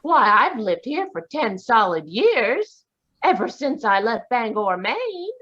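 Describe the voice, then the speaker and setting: A woman voicing a brisk, independent, sharp-tongued spinster in a live radio drama, with spirited, indignant retorts. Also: a little high, sing-song, full character voice. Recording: dull lo-fi video call audio.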